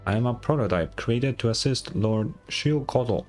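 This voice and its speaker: A man voicing a huge ancient dragon robot record-keeper from a game, formal, measured and helpful. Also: medium pitch, lively intonation, a little mellow, soft background music, natural voice. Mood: polite, matter-of-fact. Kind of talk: reading game dialogue aloud.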